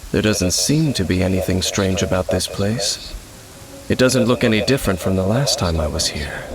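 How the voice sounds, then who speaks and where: An actor playing a calm, mysterious wandering swordsman in a video game, speaking in a measured, formal, grave way. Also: sits low, rough recording. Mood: solemn. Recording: game music loud behind.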